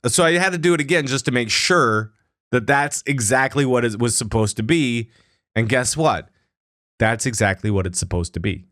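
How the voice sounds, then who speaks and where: A man co-hosting a casual gaming podcast, telling a story with lively acted-out lines. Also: medium pitch, normal speed, no echo, clean studio sound. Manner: animated storytelling, acts out characters' lines. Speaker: podcast co-host.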